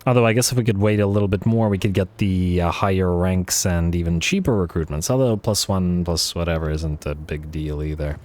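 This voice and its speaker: A man thinking aloud over a strategy game, a little hoarse from losing his voice, with frequent fillers and restarts. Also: low-pitched, a little fast, few pauses, a little mellow. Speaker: let's play host. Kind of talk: casual gameplay commentary.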